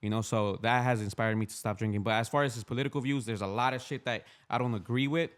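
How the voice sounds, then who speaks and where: A man in his thirties talks fast and at a middling pitch, the words tumbling out. He speaks candidly as a podcast guest, rambling through restarts and street slang. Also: steady tone. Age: 30s. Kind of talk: casual podcast chat.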